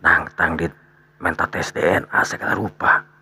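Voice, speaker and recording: Man acting very deep, gravelly older father. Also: level tone, normal speed, bright, forceful tone, exaggerated voice. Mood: resigned. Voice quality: gravelly, breathy, dropping to near whisper. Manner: sighing, muttering, conspiratorial. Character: older father, resigned, grumbling and secretive. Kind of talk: radio storytelling drama dialogue.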